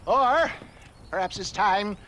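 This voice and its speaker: An elderly, flamboyant rogue for a western video game. His voice sits fairly high and wanders leisurely through florid, genteel phrases, broken by hesitations and chuckles. There is a faint noise behind him. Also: animated, slow pace, bright, forceful tone, exaggerated voice. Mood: jaunty, wry. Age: older. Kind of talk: theatrical video game voice acting.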